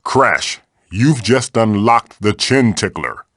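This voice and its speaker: A man's voice: lowish voice, animated, takes it slowly, a bit bright, no echo, exaggerated voice. A man voicing an old magical mask, a bossy, wisecracking mentor guiding the player in a video game with theatrical, wry delivery. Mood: instructive.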